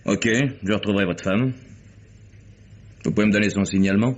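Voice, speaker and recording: An actor playing a wry, unflappable private detective in a French radio mystery, dry and sardonic in manner. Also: male voice, fairly low pitch, fairly even intonation, fairly quick, lightly acted. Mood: businesslike. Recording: dull, noisy vintage radio recording.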